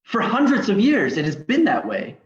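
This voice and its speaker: A man playing a stubborn, secretive village traditionalist with halting, archaic speech in an old-time radio drama. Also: sits high, wildly swooping pitch. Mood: defensive, wary. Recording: dull, echoey lo-fi audio.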